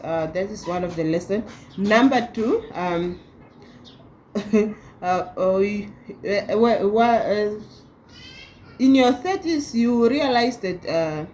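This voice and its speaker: A woman's voice: on the low side, a little mellow, a little hiss or hum, low-quality recording. A thirty-year-old woman vlogging at home, talking casually with many restarts. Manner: halting, with many restarts and laughs. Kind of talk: casual talk to camera.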